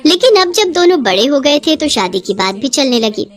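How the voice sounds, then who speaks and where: A woman narrates a scripted drama as a storyteller. Her voice sits high, and her delivery swings widely in pitch from phrase to phrase. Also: very animated, moderate pace.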